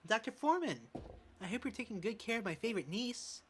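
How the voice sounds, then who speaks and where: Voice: mid-range voice, animated, fast, a little hiss or hum, voice pushed up, natural voice. A performer voicing a chatty, gossiping middle-aged aunt in a fan dub. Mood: gossipy. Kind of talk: amateur fan dub of a medical drama.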